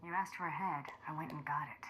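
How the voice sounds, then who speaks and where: An actress playing a tough, sardonic bounty hunter in a video game, terse and cocky. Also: female voice, low voice, steady tone, fast talker, a bit bright, a little hiss or hum, low-quality recording.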